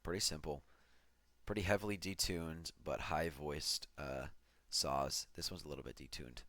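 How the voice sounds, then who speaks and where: A man's voice: rumbling low, moderate pace, sharp tone, no echo. A man giving an offhand, self-deprecating commentary as he breaks down an electronic track he produced. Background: music from the track being played.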